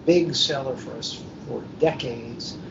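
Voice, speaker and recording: A man speaking as a guest editor on a panel, in a measured, deliberate and somewhat formal way with halting pauses. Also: medium pitch, vocal fry. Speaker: science fiction book editor, panel guest. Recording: muffled, noisy live hotel internet-call recording, distant.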